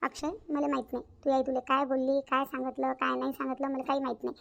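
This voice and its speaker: A woman's voice: sits high, monotone, fast, gravelly, lo-fi sound. A woman playing an outspoken village aunt in a cartoon family drama, with a Varhadi Marathi accent from Vidarbha and an emphatic, repetitive, colloquial way of talking. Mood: insistent. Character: outspoken village aunt, sister-in-law, frank peacemaker.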